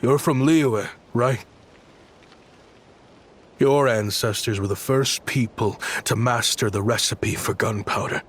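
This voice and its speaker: Actor as a slow, brooding, guilt-ridden man. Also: medium pitch, a little hiss or hum, close to an everyday voice. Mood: remorseful. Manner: grave, brooding, dramatic. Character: bitter, guilt-ridden male weapons researcher bent on atonement. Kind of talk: video game voice acting.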